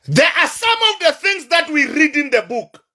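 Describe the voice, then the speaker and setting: Emphatic South African man preaching slowly. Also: extremely high pitch, sing-song, pushed and bright. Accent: South African, Sesotho-influenced. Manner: slow, emphatic, repetitive, preacher-like. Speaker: traditional healer addressing online followers. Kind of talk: livestream address to viewers.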